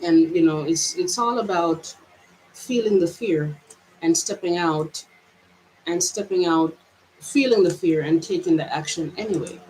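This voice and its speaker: A woman's voice: lowish voice, a little slow, darkish tone, slight background noise, poor audio. Hesitant woman, Jamaican-American accent. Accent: mixed Jamaican and American. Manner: hesitant, searching, many restarts. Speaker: vlogger. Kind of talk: reflective personal monologue.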